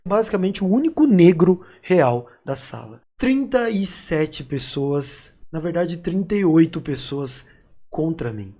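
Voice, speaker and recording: A man's voice: a little high, lively intonation, unhurried, warm tone. A man in his thirties from São Paulo with a Paulista accent, telling his life story to camera in a casual, reflective way with some street slang. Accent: Paulista Brazilian Portuguese. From São Paulo, Brazil. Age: 30s. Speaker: YouTuber.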